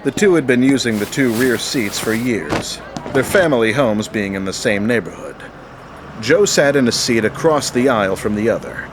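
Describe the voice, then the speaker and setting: A man's voice: mid-range voice, animated, moderate pace, background noise. A man narrating a scripted audio drama as the storyteller.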